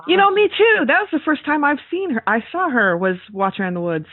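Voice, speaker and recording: A woman's voice: high voice, big pitch swings, brisk. A woman co-hosting a podcast interview in casual chat, readily agreeing and responding to her guest. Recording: muffled phone-like call audio.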